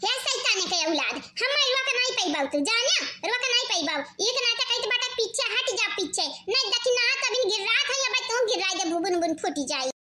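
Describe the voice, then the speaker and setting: A woman's voice: extremely high pitch, animated, a little fast, few pauses, noisy background. A woman voicing a crafty, defiant old lame village mother-in-law in a cartoon, scolding and boasting with an Awadhi accent. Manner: boastful, scolding, repeats words for emphasis. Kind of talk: exaggerated comic cartoon acting.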